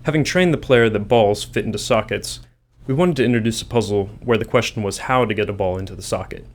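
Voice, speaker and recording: A man's voice: fairly low pitch, fast. A man who works as a game developer, reading scripted commentary on design.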